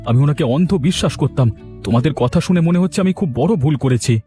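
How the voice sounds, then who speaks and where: An earnest, resolute village man acted by a man who champions the poor in a cartoon. His voice sits a little high and is emphatic, over faint music. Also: expressive, fairly quick, warm tone, lightly acted. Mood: regretful, resolute. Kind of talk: cartoon dialogue.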